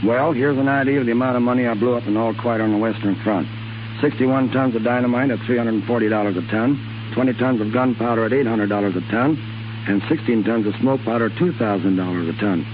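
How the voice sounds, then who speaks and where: A man's voice: medium pitch, fast. A man as a guest in a scripted 1930s radio interview, reading prepared answers in a matter-of-fact way. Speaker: guest, special effects man. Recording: tinny 1930s radio with faint noise.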